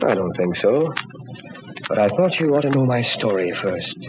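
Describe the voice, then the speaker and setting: An actor playing a grave, troubled doctor, speaking with solemn deliberation in an old-time radio drama. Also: male voice, medium pitch, lively intonation, normal speed, close to an everyday voice. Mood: measured. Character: grave, troubled doctor haunted by a weird experience. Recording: dull old radio recording with clear noise.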